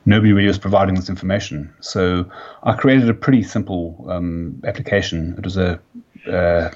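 A South African man in his fifties, a company founder giving a podcast interview, talking haltingly with many fillers and restarts. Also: low voice, fairly even intonation, darkish tone. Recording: dull lo-fi sound.

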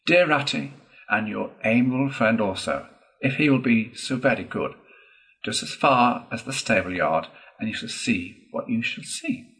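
A man voicing a boastful, excitable gentleman toad in a storybook, declaiming in a pompous, gushing way. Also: medium pitch, normal speed, poor audio. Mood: grandly persuasive.

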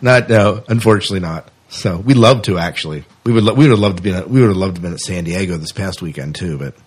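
Low, slightly husky male podcast host. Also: fast. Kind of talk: casual interview chat.

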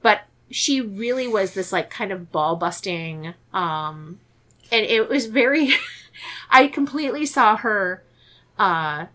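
A woman's voice: mid-range voice, lively intonation, slow, a bit bright. Woman hosting a casual movie podcast, chatty, lots of laughs and restarts. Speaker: podcast host. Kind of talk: casual chat about horror films.

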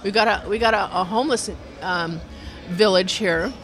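A community radio organizer talks in a casual interview at a mid-range pitch. The explanations ramble along with animation, circling back and piling up detail. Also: moderate pace, a bit bright. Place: inside a brewery restaurant.

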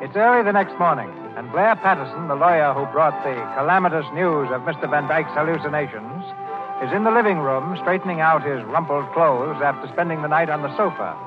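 A man announces and sets the scene in 1930s radio style. His voice sits slightly high over loud music, on a dull old recording. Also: lively intonation, fairly quick. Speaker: announcer and narrator. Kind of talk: 1930s radio scripted narration. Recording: muffled 1930s radio broadcast.

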